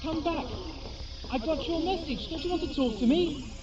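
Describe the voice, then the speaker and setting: An actor playing an eccentric, theatrical time traveller in an audio drama, brisk and self-mocking. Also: male voice, very high-pitched, dull audio, over music. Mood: excited, whimsical. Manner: theatrical, expounding, exclamatory. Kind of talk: 1980s amateur audio drama acting.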